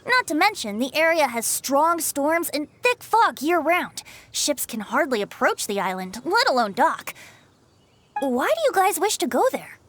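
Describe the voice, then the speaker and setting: A woman's voice: high voice, very animated, a little slow, a bit bright, a little hiss or hum, full character voice. A woman voicing a playful, breezy young male bard with a lilting, theatrical delivery in a video game. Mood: teasing. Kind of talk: video game cutscene acting.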